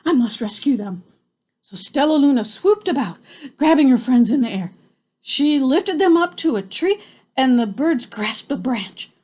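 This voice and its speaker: Grandmotherly woman storyteller: unhurried, very lively intonation, playful exclamations and chatty asides. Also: high-pitched, poor audio. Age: older. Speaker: grandmother reading to children. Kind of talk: expressive picture-book storytelling with chatty asides.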